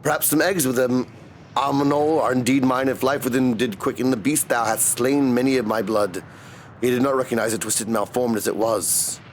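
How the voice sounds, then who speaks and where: A man's voice: mid-range voice, steady tone, slight background noise, natural voice. Man voicing an ancient world-weary dragon, solemn. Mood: mournful. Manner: slow, solemn, archaic storyteller delivery. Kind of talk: dramatic reading of video game dialogue.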